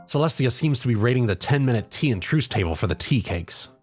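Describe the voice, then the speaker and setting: A man's voice: medium pitch, expressive, a little fast, soft background music. A man narrating a comedic story in the second person, as a dramatic reader.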